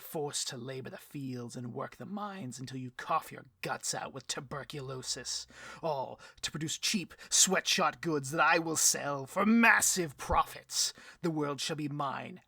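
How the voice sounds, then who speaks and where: A bloviating, vain male supervillain boss for a comedic audio roleplay. The voice is slightly high and husky, recorded close and dry. He speechifies with theatrical grandeur and bristles petulantly. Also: sharp tone, no room sound, full character voice. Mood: grandiose menace.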